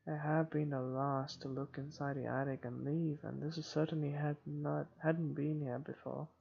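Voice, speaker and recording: Male playthrough reader, slightly high gravelly voice, dramatic but halting delivery of horror narration, dull mic, faint music behind. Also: little pitch movement. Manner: halting, stumbles and rereads. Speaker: gamer reading a visual novel aloud. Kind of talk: dramatic read-aloud of first-person horror narration. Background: game music.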